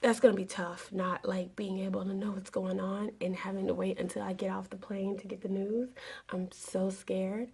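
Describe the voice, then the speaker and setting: A young woman with a raspy voice, getting over a cold, rambling earnestly through a casual advice vlog to her camera. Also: medium pitch, flat delivery, normal speed, a little mellow. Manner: rambling, earnest, with many fillers. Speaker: flight attendant vlogger.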